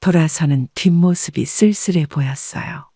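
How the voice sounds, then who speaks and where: A woman's voice: deep voice, moderate pace, dark tone, slightly rough, no echo. Composed woman narrator, very dry studio sound. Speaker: audiobook narrator. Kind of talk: scripted first-person story narration.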